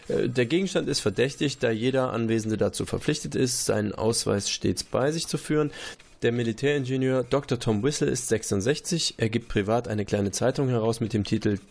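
A man's voice: medium pitch, fairly quick, creaky, a little mellow. A man reading a report aloud during a tabletop game, breaking off for dry sarcastic remarks. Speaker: player reading a forensic report.

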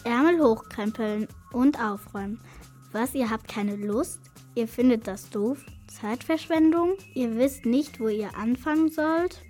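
Female kids' radio presenter, fairly high voice, faint music underneath. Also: measured pace, a little mellow. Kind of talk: scripted tips for children.

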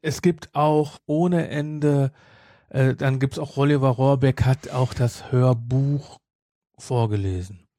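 A man's voice: mid-range voice, steady tone, slow pace, warm tone, no echo, clean studio sound. A man chatting casually as a podcast co-host, rambling and repeating his phrases. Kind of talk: casual chat.

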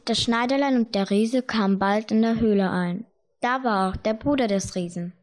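A woman narrator tells a fairy tale from a script. Her voice sits a little high. It is storytelling for a radio play. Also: moderate pace.

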